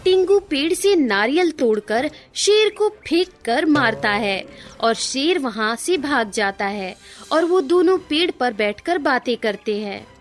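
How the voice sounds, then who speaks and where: Female narrator for kids' cartoon tales; high, lively, unhurried, with faint music behind. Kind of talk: scripted children's cartoon story narration.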